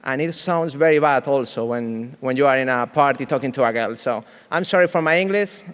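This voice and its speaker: A man from Spain with a Spanish accent, whose first language is Spanish, giving a humorous conference talk in a halting, joking way with many restarts. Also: mid-range voice, clean tone. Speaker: conference speaker. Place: large conference hall. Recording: reverberant hall sound.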